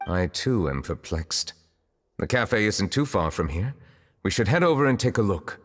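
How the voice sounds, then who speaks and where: A man playing a formal, reserved official of high rank in a video game, speaking in a measured, stately way. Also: sing-song, fairly bright tone, long reverb tail. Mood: pensive.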